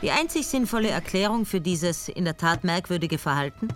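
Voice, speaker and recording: A level-headed police colonel, played by a woman narrates and questions in a dry, matter-of-fact manner. Her voice sits low for a woman. Soft music drifts underneath. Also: animated, fairly quick, lightly acted. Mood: cool. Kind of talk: radio drama narration and dialogue.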